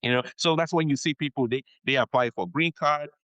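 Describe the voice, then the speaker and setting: A man's voice: mid-range voice, a little fast, a bit bright, no echo, lo-fi sound. A man being interviewed on a podcast as an immigration attorney, explaining things patiently, repeating his key advice and often checking in with "okay?".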